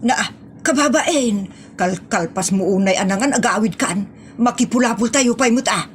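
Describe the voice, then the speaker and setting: A woman's voice: on the high side, normal speed, soft background music, close to an everyday voice. A woman with an Ilocano accent playing an exasperated, scolding wife in a rural family audio comedy.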